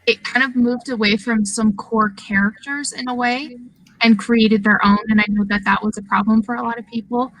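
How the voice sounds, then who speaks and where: A woman's voice: on the high side, steady tone, normal speed, a bit bright, a little hiss or hum, rough recording. A woman guest on a gaming podcast, chatting casually. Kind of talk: casual chat.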